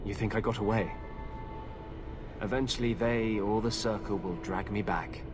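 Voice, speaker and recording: An actor playing a grave, weary fugitive mage in a video game, speaking in an earnest, guarded way. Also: male voice, fairly low pitch, steady tone, moderate pace, music in the background, lightly acted. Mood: somber resignation. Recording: noisy game ambience.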